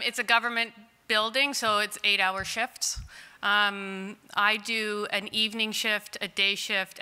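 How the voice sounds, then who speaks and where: Loud chatty woman, bright crisp voice, big hall with long echo. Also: mid-range voice. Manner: chatty, candid, laughs often. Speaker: clay artist in long-term care. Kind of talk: conference Q&A answers. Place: conference hall. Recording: distant in a large reverberant hall.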